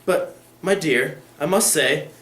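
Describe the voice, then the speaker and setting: Casual: guy doing a pompous old lord, all fussy protest, pitched way up, echoey. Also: slow pace, a bit bright. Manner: stately, protesting. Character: stately, fussy aristocratic old gentleman. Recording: echoey room.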